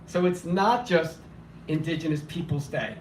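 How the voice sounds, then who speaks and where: A man reading his spoken word poetry at a cafe open mic, impassioned and declamatory, his voice slightly hoarse and weak. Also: a little high, normal speed, slight background noise. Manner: impassioned, declamatory, rhythmic repetition. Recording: echoey room, voice from far off.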